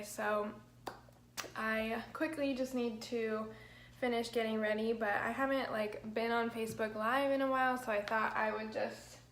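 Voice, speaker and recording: A young woman with an allergy-hoarse, crackly voice, chatting through a makeup livestream, rambling with lots of "like" and "um". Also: fairly high pitch, fairly bright tone, reverberant. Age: young adult. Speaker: makeup vlogger. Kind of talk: chatty get-ready-with-me livestream. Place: small bedroom, indoors. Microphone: built-in mic of a camera at arm's length.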